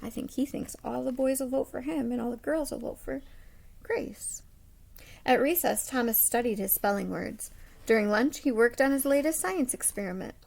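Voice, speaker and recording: A woman reading a picture book aloud to young children like a teacher, expressively, with dramatic pauses and simple asides. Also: on the high side, vocal fry, a little mellow.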